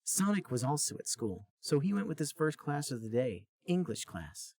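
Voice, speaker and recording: A man's voice: on the high side, very animated, moderate pace, darkish tone, husky. A man hosting a comedy podcast, narrating a story aloud in a plain storybook manner. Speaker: podcast host reading a story. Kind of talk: comic read-aloud narration.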